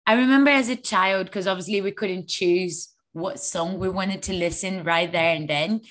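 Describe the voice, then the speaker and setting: A woman co-hosting a fitness podcast in casual chat, sounding a little fluey and telling stories in an animated way. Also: fairly low pitch, normal speed. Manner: chatty, animated storytelling. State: a little hoarse with flu. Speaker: podcast co-host; online fitness coach.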